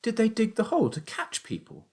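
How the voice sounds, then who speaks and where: Storybook role: anxious, devoted young boy acted by a man, high-pitched, lively swoops, urgent pleading delivery. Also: a little fast, breathy edge, full character voice. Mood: worried. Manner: calling out loudly, pleading.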